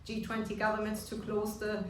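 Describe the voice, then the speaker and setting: A woman in her thirties from Germany with a German accent gives an earnest awards-evening speech, pausing often on fillers. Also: mid-range voice, monotone, slight background noise. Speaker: award speaker. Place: large echoey function room. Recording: echoey room, distant mic, music behind.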